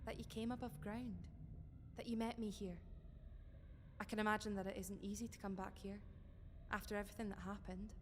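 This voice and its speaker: A woman's voice: mid-range voice, level tone, fairly quick, pauses often, in a large hall, a little hiss or hum, lightly acted. An actress playing a brave, steadfast woman of the resistance in an audio drama, earnest and reassuring. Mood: gentle, reassuring. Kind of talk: fiction podcast audio drama acting.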